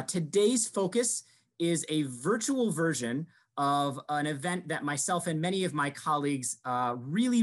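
A man in his thirties from New York hosting an admissions webinar over a video call, talking in a friendly way with many fillers and restarts. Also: a little high. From Rochester, New York. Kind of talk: scripted-free webinar presentation. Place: small room indoors. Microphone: video call headset.

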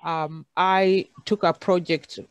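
A woman from Kenya with a Kenyan accent, speaking haltingly with many fillers as a panelist on a video call. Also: fairly low pitch, fairly even intonation, clear, resonant tone, dry recording. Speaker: conference panelist, university lecturer. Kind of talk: unscripted panel discussion. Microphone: video call, camera off.

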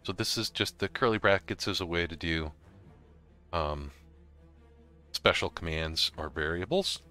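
A middle-aged man explaining software step by step in a casual, informal tutorial style. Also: sits low, a little slow, smooth, faint music behind. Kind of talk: casual screen-recorded walkthrough.

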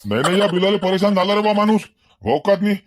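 A man's voice: high voice, expressive, fast, slight background noise. A man voicing a talkative, level-headed villager in a comedy cartoon, earnest and wordy, with a Varhadi Marathi accent. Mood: aggrieved, exasperated. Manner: earnest, wordy, repeats himself.

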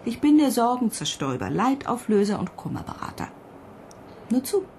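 A woman playing a composed, measured psychologist at ground control in a radio drama. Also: a little high, sing-song, a little mellow, a little hiss or hum. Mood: reassuring. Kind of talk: German radio drama acting.

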